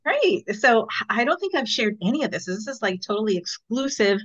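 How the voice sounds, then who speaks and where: A woman's voice: on the high side, big pitch swings, moderate pace, highs cut off. A woman in her fifties from Los Angeles, born in El Salvador, telling her own story casually as a podcast guest, often dropping in "you know". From born in El Salvador, grew up in Los Angeles. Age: 50s. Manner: conversational, peppered with "you know".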